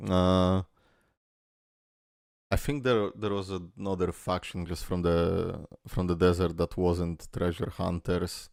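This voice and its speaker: A man's voice: sits low, little pitch movement, takes it slowly, creaky, a little mellow, no room sound, studio quality. A man hosting a casual reaction video, rambling with lots of fillers, swearing and laughing as he talks.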